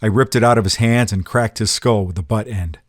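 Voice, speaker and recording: A man's voice: fairly low pitch, fairly quick, dry recording, clean studio sound, lightly acted. A man playing a cocky, streetwise tough guy in a noir audio drama, slangy and matter-of-fact. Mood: hardboiled, determined. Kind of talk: first-person noir audio drama narration.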